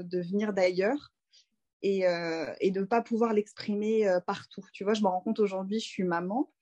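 A woman in her thirties from France, a doula speaking as a guest in a relaxed interview, thinking aloud with frequent fillers and restarts. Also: mid-range voice, lo-fi sound. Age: 30s. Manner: reflective, many fillers and restarts. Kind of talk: casual conversational interview.

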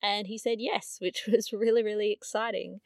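A woman hosting a podcast, introducing an episode in an earnest, chatty way with many fillers, her voice a little raspy and nasal. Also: mid-range voice, fairly even intonation, moderate pace, dry recording, studio quality. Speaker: podcast host.

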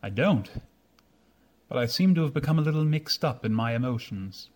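A man playing a proud, reserved young gentleman in a radio drama, speaking formally. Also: medium pitch, expressive, normal speed, close to an everyday voice. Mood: pensive. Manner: formal, restrained. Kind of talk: old-fashioned radio drama acting.

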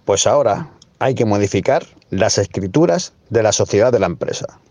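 A man's voice: fairly low pitch, lively intonation, moderate pace, a little mellow, dry recording. A man playing a formal, deferential company adviser in an amateur radio soap. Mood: businesslike.

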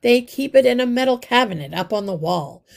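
A woman reading aloud and voicing a crotchety old male mouse with a brisk, dismissive manner. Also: a little high, animated, creaky, pitched above the performer's own voice.

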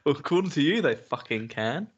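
Guy in his 30s, raspy and higher pitched, joking around on a podcast, slightly muffled audio. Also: expressive, brisk. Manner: sweary, joking. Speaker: podcast co-host. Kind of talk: comedic casual banter.

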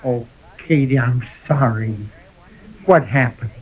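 An actor playing an eager, gullible bachelor suitor in a 1940s radio comedy, fussy, coaxing and insistent. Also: male voice, mid-range voice, slow pace, a little mellow, breathy edge, rough recording. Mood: sympathetic.

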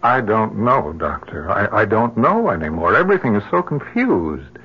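Anxious husband, hugely expressive pitch. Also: male voice. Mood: confused, hesitant. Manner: halting, pleading, melodramatic. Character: anxious, bewildered, devoted husband, worn down by fear. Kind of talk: old-time radio drama acting. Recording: muffled vintage radio recording.

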